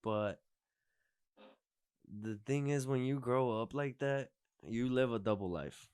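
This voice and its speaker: A man's voice: medium pitch, slow, darkish tone, smooth, no room sound, clean studio sound. A man in his twenties hosting a podcast, chatting casually, rambling and restarting his sentences. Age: 20s. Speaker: podcast host. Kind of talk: casual chat.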